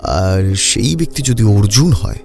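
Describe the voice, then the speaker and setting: Man voicing an eager detective's partner, low and mellow. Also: expressive, unhurried, gravelly, faint music behind. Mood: reasoning. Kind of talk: Bengali audio detective story acting.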